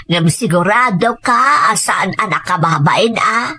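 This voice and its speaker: Woman doing an old worried grandma in an audio drama, slow n low, keeps gently scolding. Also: lively intonation, husky, exaggerated voice. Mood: worried, cautioning. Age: older. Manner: slow, fretful, admonishing. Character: elderly grandmother, worried and cautioning her grandson.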